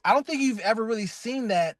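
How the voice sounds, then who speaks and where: A man's voice: expressive, quick pace, no room sound, clean studio sound. A man who voices a cartoon character, talking as a guest in a casual interview. He gushes loosely, with plenty of "like" and restarts. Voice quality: calls his own voice really deep. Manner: loose, effusive talk full of "like" and restarts. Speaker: voice actor guest.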